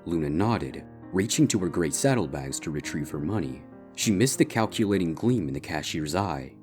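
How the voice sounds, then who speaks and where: A male narrator with a deep voice reads a scripted story. Quiet instrumental music sits behind the narration. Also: animated, normal speed. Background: soft instrumental guitar music.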